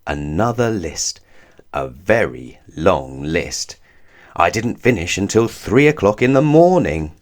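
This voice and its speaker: A man reading a children's story aloud in an expressive, deliberate way, drawing out words for emphasis. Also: low-pitched. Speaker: storybook reader. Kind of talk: scripted children's story narration read aloud.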